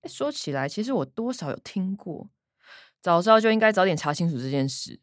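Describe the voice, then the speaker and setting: An actress playing a bossy, money-minded older sister with a Taiwanese accent, sardonic and pushy, in a radio drama. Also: female voice, on the low side, sing-song, fairly quick, no echo, clean studio sound. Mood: matter-of-fact.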